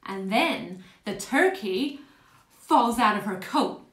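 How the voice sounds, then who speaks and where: A woman acts out a blunt, fond, working-class older woman in a stage monologue. The voice is high and very lively, chatting through old memories. The room echoes around it. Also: takes it slowly. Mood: amused storytelling. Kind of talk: dramatic stage monologue, conversational storytelling. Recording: roomy, echoing space.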